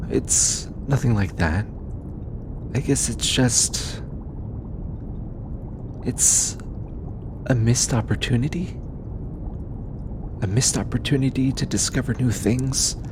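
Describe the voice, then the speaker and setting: A man voicing an anxious, earnest alien scientist in an intimate audio roleplay, hesitant and halting, as if easily flustered. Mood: wistful, apologetic. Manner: hesitant, halting, stammering, sighing. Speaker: voice actor. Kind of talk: scripted audio roleplay, intimate one-sided dialogue. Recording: clearly noisy, low rumbling background.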